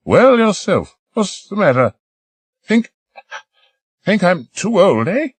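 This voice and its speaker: A man's voice: high-pitched, big pitch swings, breathy edge, no echo, pitched above the performer's own voice, heavily acted. A man playing a spry, teasing old lady. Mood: brisk teasing. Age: older. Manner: brisk, sharp-tongued, amused. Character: spry, teasing elderly woman, grand old stage star.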